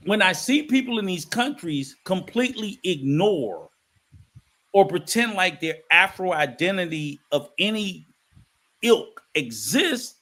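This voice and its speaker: An outspoken man with a high, bright voice that swings widely as he argues his point. He talks bluntly, swears freely and acts out little back-and-forth exchanges. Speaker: podcast co-host. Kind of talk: heated casual discussion.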